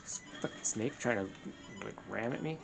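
A gamer with a mid-range, crackly voice talks casually through play. They mutter to themselves and swear, with clear game music running behind. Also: medium pitch, animated, moderate pace. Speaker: gamer on a let's play show. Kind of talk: casual gameplay chat. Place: indoors, room with a TV screen. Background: video game music.

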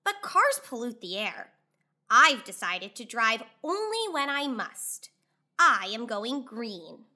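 A woman's voice: fairly high pitch, big pitch swings, takes it slowly, sharp tone, exaggerated voice. A woman voicing a kind female fox schoolteacher from a storybook, patient and emphatic. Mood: firm, cheerful.

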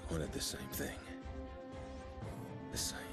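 A man's voice: slow, close to an everyday voice. An actor playing a haunted, brooding male operative in a video game, muttering with grim intensity. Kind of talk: dramatic video game narration. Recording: loud music over the voice.

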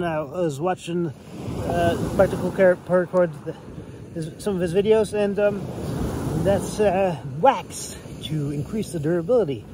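A rambling, hesitant man with a fairly high voice shows off a product casually. He is outdoors by the water, and wind noise runs clearly behind him. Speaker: writer and hobbyist reviewer. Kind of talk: casual product show-and-tell. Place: outdoors on a beach shore.

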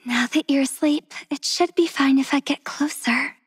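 A woman voicing a gentle, devoted young healer in a game, speaking slowly and tenderly in a soft, breathy voice. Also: high voice, dry recording, close to an everyday voice. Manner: slow and tender. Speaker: voice actress for a game character. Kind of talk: game character voice lines.

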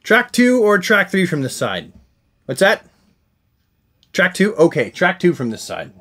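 A man's voice: high voice, wildly swooping pitch, unhurried, a bit bright. A man in his forties casually reviewing records, thinking aloud with enthusiasm as the host. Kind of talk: casual unboxing and music review chat. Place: small indoor room full of collectibles. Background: rock music from a record player.

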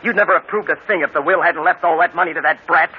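An actor playing an impatient, menacing murderer, curt and tough-talking, in an old radio crime drama. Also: male voice, a little high, fast, lightly acted. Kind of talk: 1940s radio crime acting. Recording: tinny, hissy old radio broadcast.

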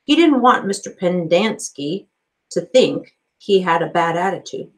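A woman's voice: fairly low pitch, lively intonation, a little slow. A middle-aged woman reading a storybook aloud as a teacher, carefully, in a small office. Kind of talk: storybook narration, read aloud. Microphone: computer microphone on a video call.

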